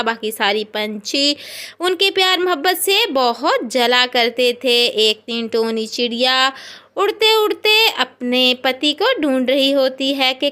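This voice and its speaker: A woman narrating a scripted children's story in a drawn-out storytelling manner. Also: high-pitched, pushed and bright.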